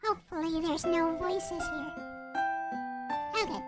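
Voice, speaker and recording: A woman's voice: extremely high pitch, fairly even intonation, unhurried, over loud music. A woman speaking in an informal, homemade way as she presents and reads a storybook-style visual novel. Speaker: visual novel creator and reader. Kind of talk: casual chat and storybook narration.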